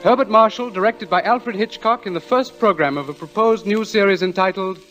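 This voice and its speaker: A man's voice: sits high, a little fast, a bit bright, low-quality recording. A man announcing a scripted radio program in a formal, dramatic 1940s broadcast style. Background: faint music.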